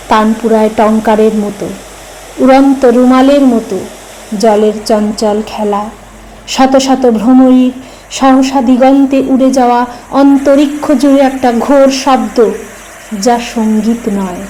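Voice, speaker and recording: A woman giving a dramatic poetry recitation, with deliberate, measured phrasing and repeated refrains. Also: fairly high pitch, warm tone, a little hiss or hum, poor audio.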